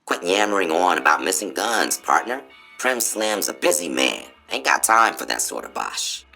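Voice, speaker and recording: A man's voice: sits low, big pitch swings, normal speed, vocal fry, pushed and bright, faint music behind, full character voice. A man playing a folksy, boastful cowboy robot spokesbot in a video game, with a showy American cowboy drawl. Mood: stubborn, folksy. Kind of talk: theatrical Old West tall-tale patter.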